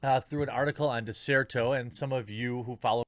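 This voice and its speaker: A man talking as an online commentator in measured, deliberate commentary. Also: medium pitch, fairly even intonation, no room sound, studio quality.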